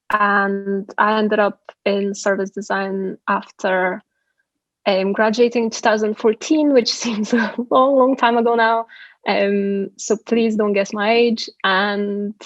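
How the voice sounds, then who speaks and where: A woman's voice: mid-range voice, no echo, highs cut off. A woman in her thirties with a Bulgarian accent, introducing herself casually and hesitantly from her living room on a video call. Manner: hesitant, many ums. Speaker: service designer.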